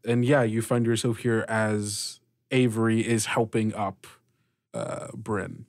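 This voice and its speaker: A man running a tabletop roleplaying game as game master, explaining rules in a halting, conversational way. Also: lowish voice, steady tone, a little mellow.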